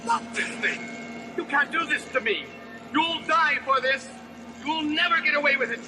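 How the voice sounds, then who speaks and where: A man acts out a space opera villain in a high voice. He is melodramatic and menacing, threatening his foes over dramatic music in an audio play. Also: moderate pace. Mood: panicked, furious. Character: villain with a death pendulum, threatening, then panicking.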